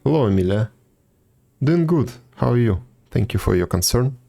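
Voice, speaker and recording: A man's voice: mid-range voice, lively intonation, moderate pace, vocal fry, dark tone, soft background music. A man casually streaming a game, making dry, offhand comments and reading dialogue aloud.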